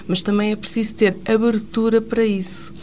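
A woman's voice: medium pitch, level tone, fairly quick, darkish tone, slight background noise. A woman, a guest on a radio talk show, explaining things earnestly.

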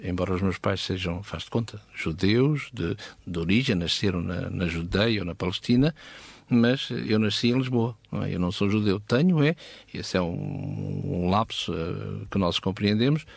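A man with a European Portuguese accent expounding scripture conversationally as a Bible teacher, halting, with pauses and frequent check questions. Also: lowish voice, gravelly, breathy edge, dry recording.

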